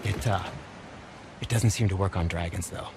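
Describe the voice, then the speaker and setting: Actor as low-voiced, offhand, sardonic craftsman. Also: lightly acted. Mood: offhand explaining. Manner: dry, offhand, halting. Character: sardonic, casual, slightly awkward male craftsman. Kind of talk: video game voice acting. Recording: game ambience noise behind voice.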